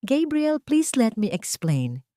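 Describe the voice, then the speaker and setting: A woman's voice: sits high, sing-song, takes it slowly, dark tone, no room sound, studio quality, pitched above the performer's own voice, heavily acted. A woman voicing a frightened, manipulative mistress who begs in a trembling voice in a dramatic story. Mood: pleading. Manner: trembling, begging.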